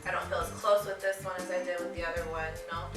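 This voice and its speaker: A woman with a quick, matter-of-fact way of talking. She sounds distant from the mic in an echoey room, with music behind her. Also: lowish voice, level tone. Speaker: panel guest, mother of two. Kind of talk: casual group chat.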